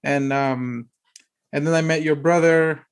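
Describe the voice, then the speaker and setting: A man's voice: a little high, fairly even intonation, unhurried, clean tone. A man hosting a podcast, asking questions in a rambling way with many restarts. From United States. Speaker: podcast host, former player agent. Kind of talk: casual interview conversation.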